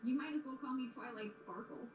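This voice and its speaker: A woman's voice: high voice, quick pace, background noise, natural voice. A woman playing a young female cartoon pony in a fan audio drama, boastful yet earnest, with a theatrical manner. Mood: theatrical, pouty. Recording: muffled, roomy playback with music.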